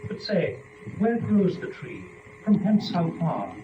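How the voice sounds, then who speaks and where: A man playing an innocent, courteous, curious first woman, speaking formal verse in a measured dramatic reading. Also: high-pitched, big pitch swings, full character voice. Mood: courteous, questioning. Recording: echoey, distant, dull, faintly noisy.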